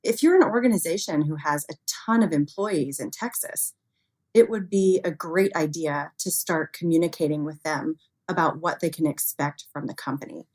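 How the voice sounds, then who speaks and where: A woman with a notably low voice speaks as an expert guest in an interview. She explains ideas in a conversational way and leans on everyday examples and hypotheticals. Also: moderate pace, a little mellow. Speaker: interview guest, expert on human error in cybersecurity.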